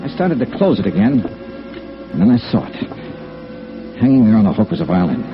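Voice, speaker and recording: A man's voice: on the low side, big pitch swings, moderate pace. A man playing a shrewd, plain-spoken insurance investigator in old-time radio noir, clipped, wry and conversational. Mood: suspicious, intent. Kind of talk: old-time radio noir acting and first-person narration. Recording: dull old radio recording with music.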